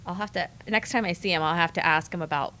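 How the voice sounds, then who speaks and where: Enthusiastic young woman, lower-pitched, podcast host. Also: fast, sharp tone. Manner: enthusiastic, informal. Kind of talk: casual chat.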